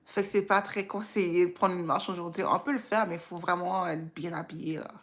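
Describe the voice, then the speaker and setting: A woman with a Québécois French accent, chatting casually and reflectively as a livestream host. She is informal and unhurried, thinking aloud as she goes. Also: on the low side, steady tone. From Quebec, Canada.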